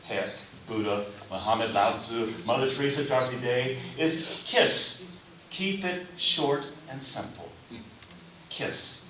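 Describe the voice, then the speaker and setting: Guy preaching a sermon, short careful phrases w pauses, mic is far off, faint hiss behind him. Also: mid-range voice, a bit bright. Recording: roomy echo, distant mic, faint hiss.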